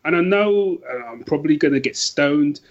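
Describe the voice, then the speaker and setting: A man's voice: a little high, animated, normal speed, highs cut off. A man talking casually and enthusiastically about books as a podcast guest, emphatic and often restarting his sentences. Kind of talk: casual book chat.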